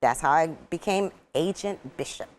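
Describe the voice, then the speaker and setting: A young woman with a Trinidadian accent, chatting as an interview guest, animated and quick to laugh. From Trinidad and the United States. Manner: animated, laughs often, acts out quotes in a high voice. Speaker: actress and guest. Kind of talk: casual interview chat.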